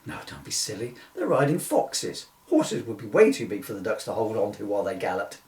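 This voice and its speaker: A man's voice: on the low side, huge pitch swings, fairly quick, darkish tone, voice pushed down. A man playing a dim, literal-minded rodeo showman in a comic reading, matter-of-fact and pedantic about absurd things. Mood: earnest. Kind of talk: comic two-hander read from a book. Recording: distant, echoey room recording.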